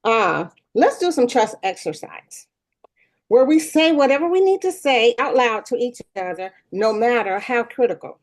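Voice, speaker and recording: A woman's voice: fairly high pitch, expressive, normal speed, natural voice. A woman playing a self-important, petty school principal with a breezy, tactless manner in a sitcom table read. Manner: breezy, pompous, mock-reasonable.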